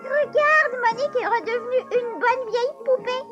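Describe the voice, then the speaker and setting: A bossy, know-it-all little girl in a cartoon. Her voice is extremely high and piping, sharp when she insists. Loud background music plays beneath her lines. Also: moderate pace, lo-fi sound. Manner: bossy, shrill. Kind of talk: dubbed cartoon voice acting.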